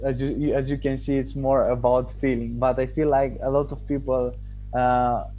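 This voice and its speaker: Mellow-voiced man, halting and thoughtful. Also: medium pitch, dull audio. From Romania. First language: Romanian. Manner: thoughtful, halting, with restarts and pauses. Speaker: student giving a testimonial. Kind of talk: casual interview answers.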